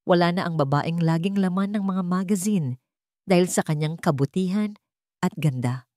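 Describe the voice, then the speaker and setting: A vain, scheming heiress with a Filipino accent, acted out by a woman narrator, speaks in a controlled, falsely sweet way with cold gloating underneath. Her pitch is fairly low and the audiobook sound is dry and intimate. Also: normal speed, darkish tone, no echo, natural voice. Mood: smug, triumphant. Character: scheming, vain, coldly jealous older sister and heiress. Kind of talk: audiobook villain monologue.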